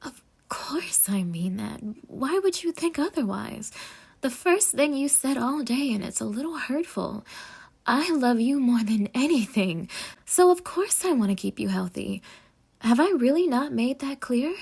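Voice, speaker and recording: A sweetly obsessive girlfriend, acted by a woman for an intimate roleplay audio. Her voice sits fairly high and has a breathy, husky edge. She coaxes with saccharine devotion and dramatic, half-whispered asides. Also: lively intonation, measured pace, a little mellow, exaggerated voice. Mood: hurt, pleading. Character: sweetly possessive, obsessed yandere girlfriend, a chef. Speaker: ASMR voice actor.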